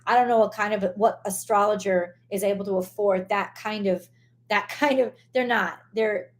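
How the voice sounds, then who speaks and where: A woman with a hoarse, worn voice hosts a casual live stream at an ordinary medium pitch. She talks bluntly and swears freely, circling back with restarts as she vents her frustration. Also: fairly even intonation, normal speed. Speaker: tarot reader hosting a live stream.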